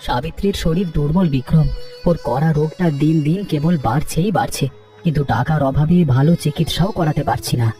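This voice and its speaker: A man's voice: on the high side, fairly quick, dark tone, distant mic, soft background music, natural voice. A man voicing a worried, poor village rickshaw puller with a plaintive manner in a cartoon. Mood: worried, downcast. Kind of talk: Bengali cartoon dialogue.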